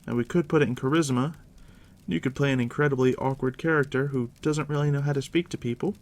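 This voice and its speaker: A man doing a soft, deep-whispered ASMR roleplay as a game master, unhurried and reassuring, speaking directly to the listener up close. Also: fairly even intonation. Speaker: game master guiding a new player.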